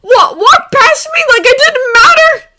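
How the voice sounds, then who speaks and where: A woman voicing an anguished, furious young man in a story, shouting tearfully in a shrill, high voice. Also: expressive, a little slow, full character voice. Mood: anguished fury. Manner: emotional, forceful outbursts. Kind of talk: dramatic audiobook character acting.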